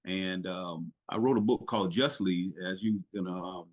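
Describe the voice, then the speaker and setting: Guest spot: middle-aged man with a lowish voice, earnest and halting, retired navy chief telling his story. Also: dull audio. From South Carolina, raised in Italy. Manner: earnest, lots of fillers and restarts. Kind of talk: casual podcast interview.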